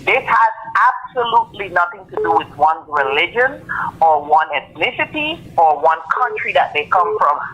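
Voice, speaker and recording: Guyanese man calling in by phone, high bright voice, earnest and insistent, faint hiss on the line. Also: big pitch swings, normal speed, rough recording. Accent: Guyanese with a foreign tinge. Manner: earnest, insistent, repeats phrases for emphasis. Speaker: caller. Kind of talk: call-in radio talk.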